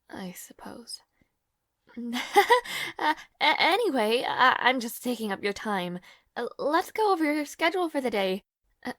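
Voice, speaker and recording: Woman to play caring young maid for fantasy audio roleplay; higher register, unhurried, formal yet hesitant and apologetic delivery. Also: a bit bright, no room sound, clean studio sound, heavily acted. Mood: flustered, nervous. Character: devoted young maid to a noble lord, nervous and caring. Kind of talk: scripted audio roleplay, intimate fantasy drama.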